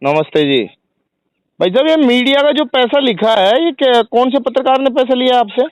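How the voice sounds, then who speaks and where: Male journalist, very high voice, pressing politely but persistently in a dry phone recording. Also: animated, normal speed, clean tone, dry recording. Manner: insistent, repetitive, polite in address. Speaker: journalist, press union state general secretary. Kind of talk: argumentative phone conversation.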